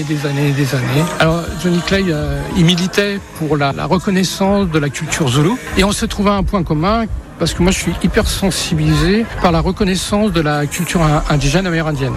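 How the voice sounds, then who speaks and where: A man in his sixties from the Paris region tells stories of his life in music as a radio interview guest. His voice sits a little high for a man, and he talks in a relaxed way, pausing and restarting often as he searches for his words. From Paris region, France. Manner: hesitant, many pauses and restarts. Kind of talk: relaxed personal storytelling.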